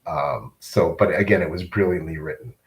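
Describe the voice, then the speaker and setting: A low-voiced man hosts a casual live-streamed interview. He is often halting and searches for his words as he asks questions.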